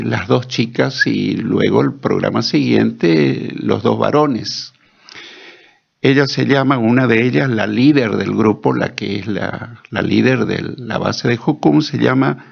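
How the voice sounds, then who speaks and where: A man hosting a church program, interviewing guests in a slow, deliberate manner with a gravelly, husky voice. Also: medium pitch. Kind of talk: friendly church radio interview. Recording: dull lo-fi sound.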